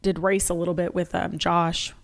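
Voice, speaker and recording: A woman's voice: fairly low pitch, level tone, normal speed, vocal fry. Chatty woman reviewing a movie. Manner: chatty, hesitant with many ums. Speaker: podcast co-host. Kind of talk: casual movie review chat.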